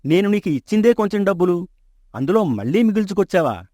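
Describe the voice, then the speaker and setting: An actor voicing a rich, kindly older merchant and father in an animated story, speaking in a calm, assured, fatherly way. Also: high voice, fast, dry recording. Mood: teasing. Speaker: shop owner. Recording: dry close studio mic.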